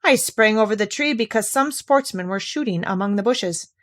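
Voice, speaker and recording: A woman's voice: mid-range voice, expressive, normal speed, fairly bright tone, lightly acted. A cocky little fairy-tale tailor, read by a woman. He is boastful and breezy, a clever small man who brushes off every challenge.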